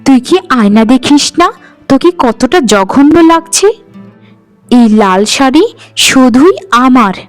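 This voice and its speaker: A woman's voice: high-pitched, animated, a little slow, faint music behind. Woman playing a bitter, cutting elder cartoon wife. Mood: jealous, insulting. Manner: cutting, accusing. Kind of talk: cartoon dialogue.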